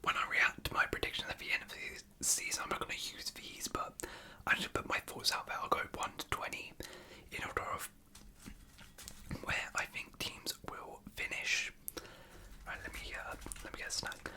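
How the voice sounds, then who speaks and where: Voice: normal speed, sharp tone. A British ASMR host whispering casual, unscripted chat in a hesitant, rambling way. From United Kingdom.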